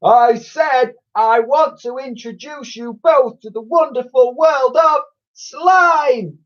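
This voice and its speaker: A man's voice: fairly high pitch, measured pace, voice pushed up. A man voicing a bold, cheeky young boy in a storybook reading. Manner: bold, bossy. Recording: dull lo-fi recording.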